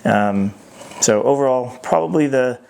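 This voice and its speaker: A man's voice: mid-range voice, lively intonation, measured pace, gravelly, heavy background noise. A man giving an unscripted hands-on product review as host, thinking aloud in a hesitant way.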